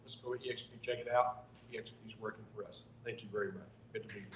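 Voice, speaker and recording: An older man from Texas, a longtime real estate broker, introducing himself to a room with loud, playful jokes. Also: lowish voice, steady tone, very fast. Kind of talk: joking self-introduction. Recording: echoey room, faint noise.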